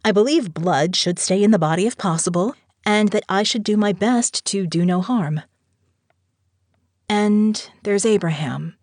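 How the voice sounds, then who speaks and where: A woman's voice: mid-range voice, unhurried, darkish tone, dry recording, natural voice. A woman reading an audiobook diary as a candid, tender-hearted woman with a frank, wry, conversational manner. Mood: candid, resolute. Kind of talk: first-person audiobook diary narration.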